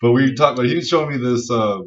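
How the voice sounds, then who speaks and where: A man's voice: mid-range voice, quick pace, a little hiss or hum. A man co-hosting a casual geek-culture podcast, enthusiastic and rambling, swearing freely as he talks. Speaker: podcast co-host. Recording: dull lo-fi audio, distant from mic.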